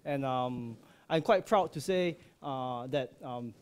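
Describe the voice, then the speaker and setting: A man's voice: medium pitch, takes it slowly, smooth. A man in his thirties, from Malaysia, giving a friendly conference talk in a large lecture hall, with plenty of ums and light jokes. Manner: friendly, joking, many ums and uhs. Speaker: subsea processing engineer. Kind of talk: conference presentation. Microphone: headset.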